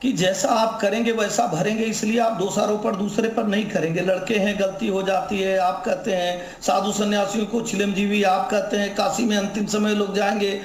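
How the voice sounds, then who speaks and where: Man on a debate panel, voice way up high, accusing and repeating himself, echoey room. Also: flat delivery, normal speed, hardly any pauses. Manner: accusatory, repetitive oratory. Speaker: political spokesman, debate panelist. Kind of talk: heated television debate. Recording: roomy sound with faint noise.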